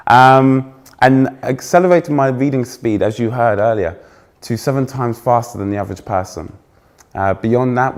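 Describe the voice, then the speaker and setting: Young English male keynote speaker, fairly posh accent, loud, energetic, teases the crowd. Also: fairly low pitch. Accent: trained-out Essex, now fairly posh English. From England. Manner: energetic, joking with the audience, self-deprecating. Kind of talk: conference keynote talk. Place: stage before a live audience.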